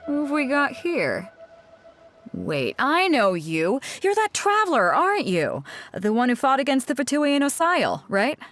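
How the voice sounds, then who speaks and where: A woman's voice: high-pitched, huge pitch swings, a little slow, a bit bright, soft background music, full character voice. A woman voicing a confident adult ship captain in a video game, talking in a bold, wry and teasing way.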